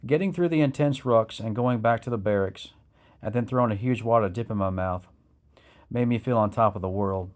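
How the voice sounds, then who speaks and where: A man's voice: lowish voice, a little fast. A man reading a scripted first-person memoir of military training, in the style of an audiobook. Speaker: memoir author narrating his own military training.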